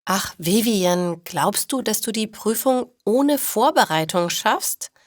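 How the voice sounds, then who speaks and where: A woman voicing a caring, worried mother in a storybook, gently doubting and questioning. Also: mid-range voice, animated, slow pace, no echo, clean studio sound.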